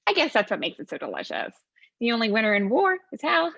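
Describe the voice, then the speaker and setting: A woman's voice: fairly high pitch, very animated, fast talker, a bit bright, slightly rough, dry recording, dull audio, heavily acted. A woman playing a snarky, casual demon who is proud of corrupting humans, in a theatrical comic monologue. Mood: smug, sardonic. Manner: sardonic, casual, digressive.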